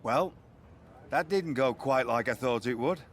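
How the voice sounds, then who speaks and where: An actor playing a resigned, matter-of-fact wrestling boss in video game dialogue. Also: male voice, medium pitch, a little slow, a little hiss or hum, close to an everyday voice. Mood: resigned, apologetic. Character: resigned male wrestling boss breaking bad news.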